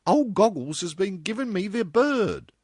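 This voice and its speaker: Man putting on a cheeky high-pitched teenage office girl. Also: sing-song, measured pace, a little mellow, breathy edge, dry recording, clean studio sound, heavily acted. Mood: breathless, breezy. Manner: slangy, exuberant, emphatic. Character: cheeky, breezy eighteen-year-old office girl.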